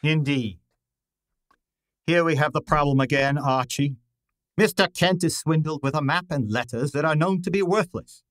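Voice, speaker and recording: A man playing a shrewd, imperious private detective in a radio drama, speaking formally and deliberately. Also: a little high, fairly bright tone, dry recording, clean studio sound, close to an everyday voice. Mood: pondering, authoritative. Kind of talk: old-time radio drama acting.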